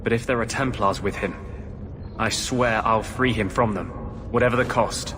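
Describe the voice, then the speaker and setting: An actor voicing an earnest, defiant mage healer with a measured, intense delivery in a dramatic video game. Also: male voice, lowish voice, noisy background, natural voice. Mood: earnest, determined. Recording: music behind the voice.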